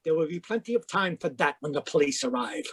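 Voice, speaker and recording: A man's voice: a little high, very animated, quick pace, a bit bright, breathy edge, no room sound, full character voice. An actor playing a dignified, indignant man past sixty in an old-time radio crime drama, with stiff, formal diction. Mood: stern, indignant. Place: performed from home on a video call.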